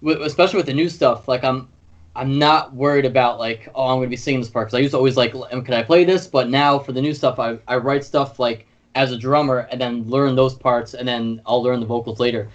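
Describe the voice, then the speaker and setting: A man chatting informally as a musician being interviewed, animated and easygoing. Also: mid-range voice, brisk, highs cut off. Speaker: band drummer and singer being interviewed. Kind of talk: casual interview chat.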